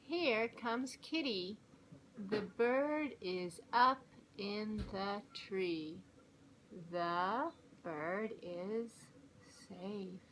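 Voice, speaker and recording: A woman reading aloud slowly to young children like a teacher, with a deliberate, coaching and animated manner. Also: medium pitch, a little hiss or hum, low-quality recording. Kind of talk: slow read-along for young children.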